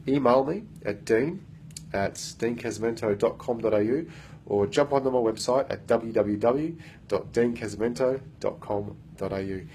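A man's voice: mid-range voice, normal speed, dark tone, a little hiss or hum. A man in his thirties, a coach, talks earnestly into a phone held at arm's length in an office meeting room, with lots of fillers and restarts. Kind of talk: casual self-recorded vlog.

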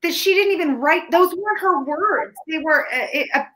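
A woman's voice: very high voice, moderate pace, a bit bright. A woman in her thirties from Canada, a native Ukrainian speaker, chatting casually as a film critic over a video call, with frequent restarts and fillers. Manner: talkative, many restarts and fillers. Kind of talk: casual film review chat. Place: home room.

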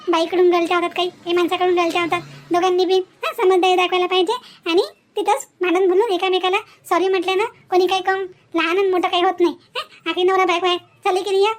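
A woman voicing a moralizing, chatty village aunt in a cartoon story, with a Vidarbha Marathi accent and an emphatic, repetitive way of advising. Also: very high-pitched. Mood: cheerful. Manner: preachy, emphatic, repeats herself with tag words.

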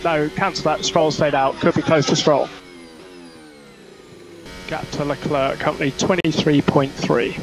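A man's voice: a little high, fairly even intonation, unhurried, pauses often, music in the background. A man working as a race engineer, giving terse, clipped technical instructions over team radio. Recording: noisy motorsport team radio.

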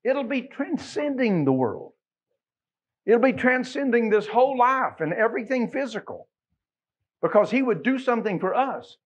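A middle-aged American man preaching a Baptist sermon, emphatic, moving between quiet asides and loud exclamations and quoting scripture. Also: very high voice, very animated, moderate pace, husky. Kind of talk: Sunday sermon with scripture quotations. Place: small church sanctuary, at the pulpit.

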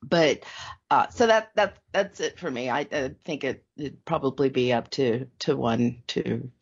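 A woman with a husky voice giving casual, hesitant opinions as a podcast panelist. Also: a bass voice, a little slow. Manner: hesitant, noncommittal. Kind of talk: casual review chat. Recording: slightly dull, lo-fi sound.

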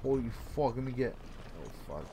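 A man's voice: mid-range voice, very animated, normal speed, warm tone, slight background noise. A man streaming a video game, chatting casually in a laid-back, rambling way and swearing freely. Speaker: gaming streamer.

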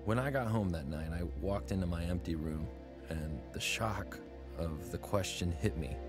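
A man's voice: low-pitched, normal speed, lightly acted. A man in his twenties narrating his own story reflectively in a radio drama. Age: 20s. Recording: narration over music.

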